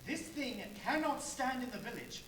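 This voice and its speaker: Une femme jouant un villageois sévère, voix lointaine. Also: female voice, high-pitched, lively intonation, normal speed, fairly bright tone. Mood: concerned, insistent. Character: stern, concerned villager urging action. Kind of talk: live radio play acting. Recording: echoey, distant, faintly noisy live stage.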